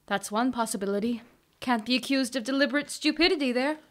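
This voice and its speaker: A woman's voice: on the high side, quick pace, natural voice. An actress playing a blunt, skeptical elf woman in a fantasy audio drama. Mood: disapproving.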